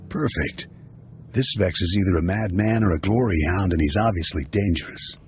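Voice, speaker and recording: A man playing a seasoned bounty hunter mentor who briefs in a steady, matter-of-fact way in video game dialogue. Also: sits low, moderate pace, breathy edge. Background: faint game music.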